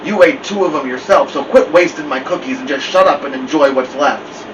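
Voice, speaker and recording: A man puts on a tough teenage older brother from a storybook, bossy, mean and taunting. He sounds somewhat distant and muffled, over a clear steady hum. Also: fairly high pitch, a little fast, natural voice. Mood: threatening. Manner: gruff, commanding, taunting. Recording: dull, distant mic with loud hum.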